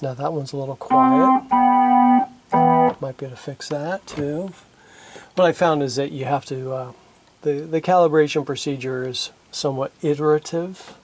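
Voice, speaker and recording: A man talking casually through his repair work at a home workbench, thinking aloud and halting with fillers, recorded on a camera microphone. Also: fairly high pitch, loud music behind the voice, poor audio. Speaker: hobbyist synth repairer. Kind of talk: casual narration while working. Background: synthesizer being played.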